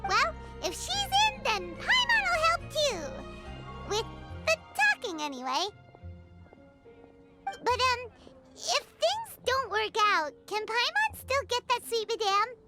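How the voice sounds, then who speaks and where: A tiny fairy companion from a video game, squeaky and extremely high. The voice is childlike and excitable, leaping up and down in pitch as it exclaims and begs like a greedy little sidekick. Game music plays prominently behind it. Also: female voice, slow pace, exaggerated voice. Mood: eager, wheedling. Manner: exclamatory, refers to self in third person. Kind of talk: animated video game voice acting.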